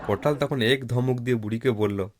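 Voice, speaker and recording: A man's voice: mid-range voice, fairly quick, slight background noise. A man reading a scripted folk tale as narrator. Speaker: storyteller, narrator. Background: plucked guitar-like music.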